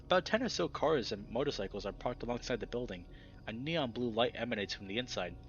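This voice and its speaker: A man's voice: medium pitch, expressive, fast talker, dull audio, faint music behind. A man reading first-person visual novel narration in a dramatic, scripted storytelling style.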